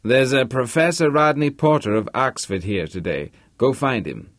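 Man doing a loud, blustering American tycoon. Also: medium pitch, moderate pace, no room sound, studio quality, pitched above the performer's own voice, close to an everyday voice. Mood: brusque, impatient. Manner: loquacious, blustering. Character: loud, boastful, fat Polish-American rich man, racehorse owner. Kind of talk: audiobook character voice.

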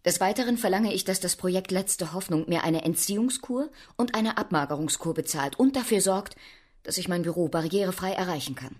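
An actress plays an embittered, imperious woman with a lowish, husky voice. She lays down terms formally, as in a radio play. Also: a little fast, darkish tone, lightly acted. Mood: demanding. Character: demanding, embittered woman dictating her conditions. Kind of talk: German radio drama acting.

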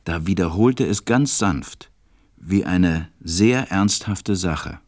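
A man playing a serious stranded pilot who narrates his story in a measured, deliberate way in an audio drama. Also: low voice, a little mellow, dry recording, studio quality. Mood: reflective, wry. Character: stranded adult male pilot, serious narrator recalling events.

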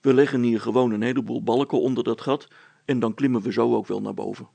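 A man voicing a gruff, practical, assertive fellow in a story, blunt and grumbling. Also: mid-range voice, fairly even intonation, fast, dark tone, no echo, voice pushed down. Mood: gruff, decisive. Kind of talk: dramatised story reading.

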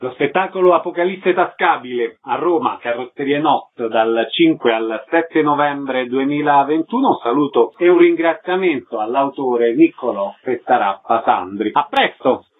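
A man's voice: medium pitch, normal speed, few pauses. A man hosting a radio arts interview, announcing events and putting questions to guests. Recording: narrow phone-like band.

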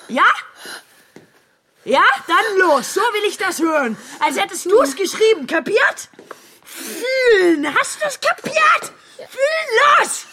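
A woman's voice: very high-pitched, pushed and bright, exaggerated voice. An actress in a radio play voicing an enraged, desperate woman who threatens and commands with biting sarcasm. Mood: frantic, demanding. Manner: menacing, sarcastic, commanding, unhinged. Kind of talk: radio drama acting.

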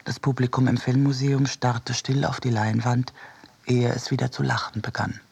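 Husky, creaky male radio narrator. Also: medium pitch, level tone, moderate pace, a little mellow. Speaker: reader of a literary essay. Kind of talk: scripted narration in a radio production.

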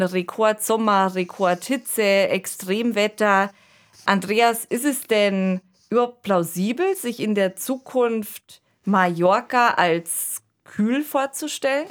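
A woman hosting a conversational podcast discussion, explaining things in an informal way. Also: mid-range voice. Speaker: podcast host.

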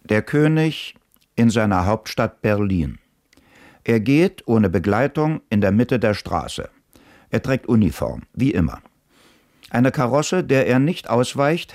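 A man narrating scenes of a radio play in a measured, matter-of-fact way. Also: lowish voice, expressive, a little mellow, no echo, clean studio sound.

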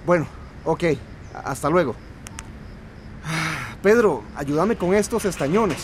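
A gruff, coughing old cattle farmer, voiced by a man, set in a radio drama. The voice sits high and the lines come out skeptical and grumbling. Rain noise runs clearly underneath. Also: lively intonation, slow pace. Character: older male cattle farmer, gruff father. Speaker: rancher Pedro.

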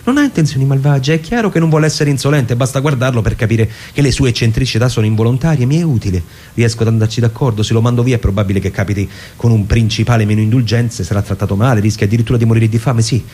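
A man's voice: medium pitch, racing, hardly any pauses, a little mellow, close to an everyday voice. A man playing a fussy, indulgent lawyer in a radio monologue, reasoning aloud to himself. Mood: indulgent, self-satisfied. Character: fussy, self-satisfied male lawyer employer, puzzled and indulgent.

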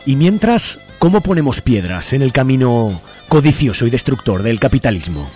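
Mid-pitched Spanish radio presenter over music. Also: sing-song, normal speed. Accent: Spanish from Spain. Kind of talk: scripted alternating manifesto reading.

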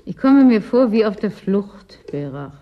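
A woman playing a weary, brooding office superior in a German radio drama, speaking pensively and haltingly. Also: medium pitch, big pitch swings, muffled recording.

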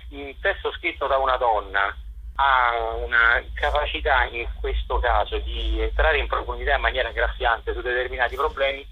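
A man being interviewed, speaking in a discursive, articulate way with hesitant pauses, like a guest explaining his work. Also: medium pitch, husky. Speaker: stage director and actor, interview guest. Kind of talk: interview, promoting a theatre show. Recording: muffled lo-fi phone-style radio line.

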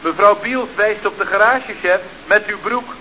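A man's voice: high voice, normal speed, sharp tone. An actor playing a chatty, slangy youth-club leader who reports deferentially and glibly to his boss in a radio comedy. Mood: reporting. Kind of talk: Dutch radio comedy acting. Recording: dull, noisy old radio recording.

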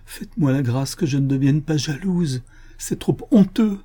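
A man's voice: mid-range voice, moderate pace, warm tone, voice pushed up, close to an everyday voice. A man reading the part of an anguished young woman who pleads and begs in a classic novel audiobook. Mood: distraught. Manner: desperate, imploring.

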